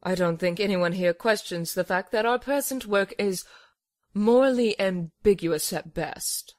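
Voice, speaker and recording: A woman playing a cool, blunt scientist in a horror audio drama, measured and dry. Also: medium pitch, close to an everyday voice. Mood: dry, sardonic. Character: cool, clinical female scientist, sardonic and blunt.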